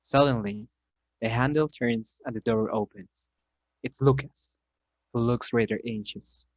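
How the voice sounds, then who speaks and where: A man's voice: medium pitch, fairly even intonation, measured pace, no echo, poor audio. A man reading scripted narration for an amateur radio play, setting scenes as a storyteller.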